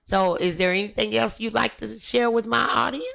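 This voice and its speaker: A woman's voice: a little high, normal speed, vocal fry, fairly bright tone. A woman hosting a casual interview show, effusive and encouraging with her guest. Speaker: talk show host.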